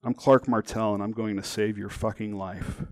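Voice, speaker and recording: Man acting out intense, commanding older male recruiter, lowish voice. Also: moderate pace, dry recording, natural voice. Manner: steady, earnest, commanding.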